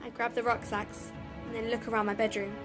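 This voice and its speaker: A woman's voice: fairly high pitch, flat delivery, a little fast, fairly bright tone, soft background music, lightly acted. A female performer playing a frightened, wary young girl in an audio drama, speaking timidly and hesitantly. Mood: fearful, reflective. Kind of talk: audio drama acting with first-person narration.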